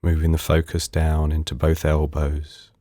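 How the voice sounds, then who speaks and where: A man leading a guided meditation in calm, unhurried, soothing short phrases with pauses between them. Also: very deep voice, dry recording, clean studio sound. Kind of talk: guided body scan meditation.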